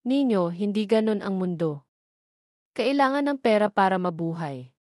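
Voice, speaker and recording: A woman's voice: mid-range voice, lively intonation, moderate pace, a little mellow, dry recording, lightly acted. A woman narrator puts on a stern father in an audio drama. He speaks firmly and seriously, never yielding, recorded close and dry. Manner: firm, serious. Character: stern, unyielding father of a grown daughter.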